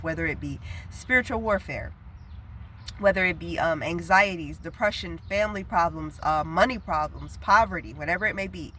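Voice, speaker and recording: A woman's voice: on the low side, pushed and bright, a little hiss or hum. Nasal-sounding woman with a head cold. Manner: chatty, earnest, rambling with fillers. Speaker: prophetic video preacher. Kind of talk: casual spoken prophetic message.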